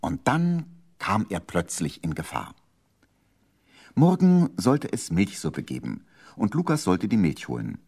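A man's voice: sits low, wildly swooping pitch, measured pace, gravelly, a little mellow, soft background music, heavily acted. A man narrating a children's radio play in a playful, dramatic storytelling manner. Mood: suspenseful.

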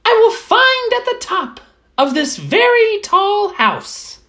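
An eager little gray mouse from a children's storybook, voiced by a woman. The voice sits very high and swings about in lively exclamations. It muses aloud to itself like a choosy house hunter. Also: slow pace, heavily acted. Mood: delighted.

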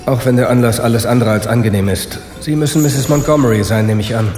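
An actor playing a resigned, sardonic condemned prisoner in a German radio play, speaking articulately. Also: male voice, medium pitch, fast talker, darkish tone, reverberant, big-room sound, faint music behind, lightly acted. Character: bitter, resigned condemned prisoner, weary of life.

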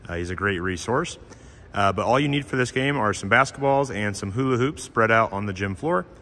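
A man's voice: lowish voice, expressive, fairly quick, sharp tone, big-room sound. A man who teaches physical education, hosting his channel and explaining a class drill in a friendly, plain way with frequent filler sounds. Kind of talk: instructional voice-over explaining a drill.

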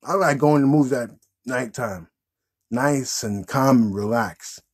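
A man's voice: mid-range voice, unhurried, breathy edge. A man in his thirties hosting a children's puppet show in casual, improvised chat.

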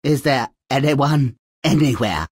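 An actor voicing a vain, cruel, haughty evil queen with a husky voice in a fairy-tale video game. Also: mid-range voice, lively intonation, slow, dry recording, clean studio sound. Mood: vain, dismissive. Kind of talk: video game character acting.

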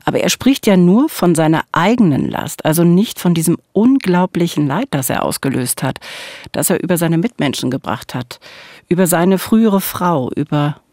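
A woman's voice: fairly low pitch, lively intonation, normal speed, a little mellow, dry recording. A middle-aged woman speaks as a true-crime podcast host. Her delivery moves between scripted storytelling and conversation, recorded close and very dry in a studio. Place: small dark podcast studio. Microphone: close studio microphone with headphones.

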